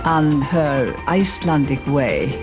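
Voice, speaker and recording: Mid-pitched speaker, pauses with fillers, documentary interview, music behind. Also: lively intonation. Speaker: commentator.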